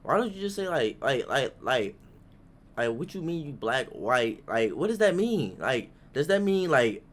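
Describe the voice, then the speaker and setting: A man's voice: medium pitch, sing-song, a little slow. A man giving casual off-the-cuff reaction commentary, rambling and blunt, with slang and swearing. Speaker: host reacting to a video.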